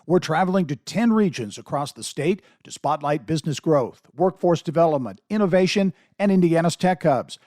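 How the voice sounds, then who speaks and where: A man's voice: fairly high pitch, expressive, moderate pace, hardly any pauses, warm tone, dry recording, studio quality. An American man reading a scripted radio promo as an announcer.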